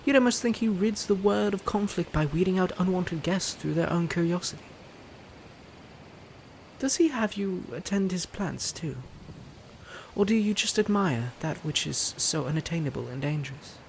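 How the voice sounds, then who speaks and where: An actor playing a suave, smug, flirtatious prince in a romantic roleplay audio drama, teasing and haughty with a sinister edge. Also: male voice, high voice, animated, moderate pace, vocal fry, a little hiss or hum, low-quality recording. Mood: silky, menacing. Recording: music under the voice.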